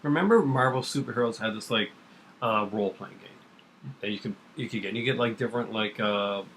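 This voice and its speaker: A middle-aged man talks quickly and casually as the host of a homemade video. He rambles and gives firm opinions, with faint noise behind him. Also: fairly low pitch, fairly bright tone. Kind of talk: casual chat about comics. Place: kitchen at home.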